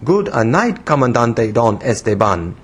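A man's voice: medium pitch, big pitch swings, a little slow, slightly rough. A man voicing a small, saucy young woman with a childish voice, a Spanish accent and broken English, in a story reading. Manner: broken English, pert and pouting.

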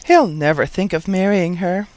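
A man's voice: high voice, normal speed, no room sound, lightly acted, rough recording. A man voicing a practical, blunt housemaid woman in a storybook, with a matter-of-fact manner. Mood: musing.

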